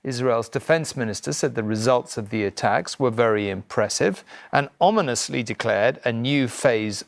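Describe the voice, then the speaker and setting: A man's voice: on the low side, vocal fry. A middle-aged man presenting scripted news as a studio anchor.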